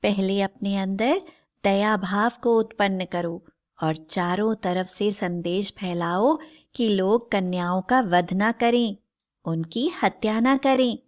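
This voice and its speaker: A woman's voice: medium pitch, slow pace, close to an everyday voice. A woman voicing a stern, righteous mother goddess who admonishes and preaches in a moral storybook tale. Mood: commanding, instructive. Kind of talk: scripted moral storybook acting.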